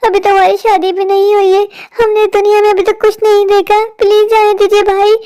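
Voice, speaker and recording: A woman's voice: very high voice, completely flat delivery, brisk, warm tone. A woman voicing a timid young runaway bride in a cartoon story, fretful and pleading, with a childlike voice.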